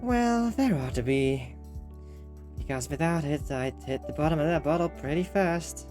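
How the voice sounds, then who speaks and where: A man's voice: fairly high pitch, expressive, normal speed, darkish tone, soft background music, close to an everyday voice. A man voicing a jaded, hard-drinking young woman in a visual novel, with a dry, weary manner. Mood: wry, brooding.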